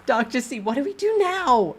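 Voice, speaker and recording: Guy doing a scared confused young woman in a story, way higher voice, urgent, swoopy, bit of noise. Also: sing-song, moderate pace, fairly bright tone, husky, exaggerated voice. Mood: bewildered, anxious. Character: anxious, bewildered young woman in danger.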